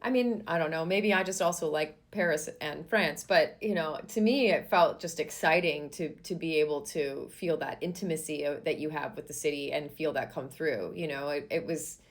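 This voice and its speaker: A woman's voice: sits low, normal speed, hardly any pauses. A woman hosting a podcast, talking to her guest in an enthusiastic, gushing way with lots of "you know" and "like". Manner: enthusiastic, gushing, many fillers. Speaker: podcast host. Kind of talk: casual interview chat.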